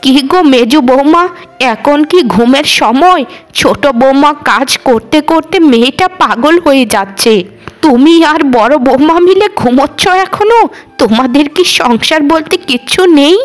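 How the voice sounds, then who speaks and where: A woman's voice: sits high, expressive, moderate pace. A woman voicing an elderly cartoon mother-in-law, weary and scolding, with a lamenting, nagging way of talking. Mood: scolding, exasperated. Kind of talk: Bengali cartoon voice acting.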